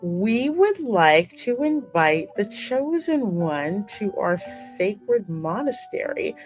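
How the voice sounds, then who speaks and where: A woman's voice: medium pitch, big pitch swings, takes it slowly, faint music behind. A woman doing game playthrough commentary, switching between reading story text aloud and playful, colloquial chat. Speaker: gaming video co-host reading game dialogue aloud.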